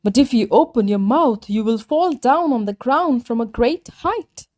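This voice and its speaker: Lady playing a helpful gander in a kids story, mellow but highish voice, earnest n caring. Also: lively intonation, normal speed, close to an everyday voice. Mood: cautioning. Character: loyal, helpful, cautioning gander friend of a tortoise.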